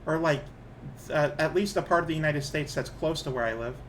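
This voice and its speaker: A man's voice: fairly high pitch, a little fast, slight background noise. Rambling, chatty man, light voice. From Canada. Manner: rambling, chatty, restarts a lot. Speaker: streamer. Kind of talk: casual chat while gaming.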